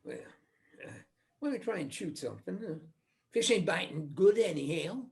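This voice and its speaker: A man acting out a gentle country coal miner in a stage play. His Appalachian voice is full of gravelly creak. He speaks in a folksy, hesitant, coaxing way, protective of his kin. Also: high-pitched, sing-song, moderate pace, full character voice.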